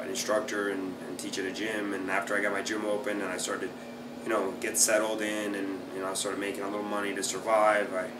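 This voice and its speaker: Thirties male fighter, low and fairly monotone, easygoing with many fillers; recorded far off in a reverberant room with noise. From Brazil, raised in South Florida. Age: 30s. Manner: relaxed, many fillers like uh and you know. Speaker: jiu-jitsu fighter and instructor. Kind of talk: casual interview answers. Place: small plain room indoors, seated in office chair. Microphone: camera at a distance. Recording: echoey room, distant mic, background hum.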